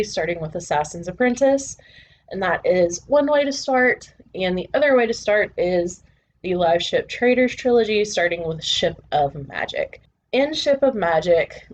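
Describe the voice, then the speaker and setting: A woman's voice: medium pitch, darkish tone. Woman presenting, with background noise. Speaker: host of a book channel. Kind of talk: presenter-style talk to camera.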